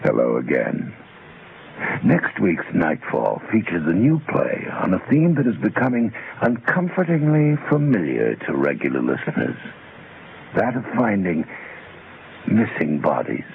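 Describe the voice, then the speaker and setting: Very deep, gravelly, sinister male horror host. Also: big pitch swings. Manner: sinister, pausing dramatically. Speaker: horror radio show host. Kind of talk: scripted, theatrical horror hosting. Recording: tinny, faintly noisy radio broadcast.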